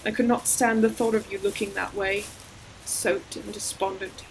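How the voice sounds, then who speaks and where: A woman performing an ancient female forest demon in an audio roleplay, solemn, poetic and deliberate, a tender and sorrowful protective old friend. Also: mid-range voice, flat delivery, slight background noise, natural voice, rough recording. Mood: mournful. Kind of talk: scripted audio roleplay monologue, slow dramatic storytelling. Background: ambient environmental sound effects.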